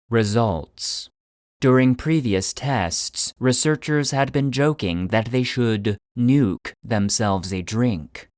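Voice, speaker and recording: A man giving scripted narration in a measured, clinical manner, like a report being read out. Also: lowish voice, clear, resonant tone, no room sound, studio quality. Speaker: narrator and producer.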